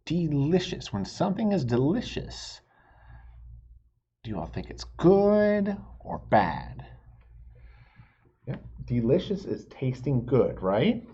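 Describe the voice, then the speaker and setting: A man reads a storybook aloud to children, pausing to ask them questions. His delivery is slow with a wildly swinging pitch. His voice is husky, and the recording sounds dull and lo-fi. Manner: animated, asks children questions. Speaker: teacher reading to children.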